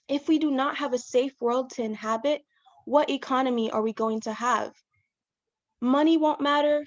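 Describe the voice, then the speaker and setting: Young woman urging in a speech. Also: sits high. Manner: earnest, urging. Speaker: student speaker. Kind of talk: persuasive speech. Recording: lo-fi online call audio.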